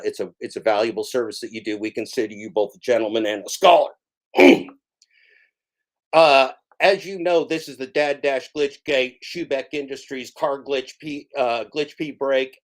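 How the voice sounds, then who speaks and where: A man hosting a livestream, rambling in a folksy, mock-grandiose way, with lots of filler "uh"s and phrases he repeats for comic effect. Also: medium pitch. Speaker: livestream host.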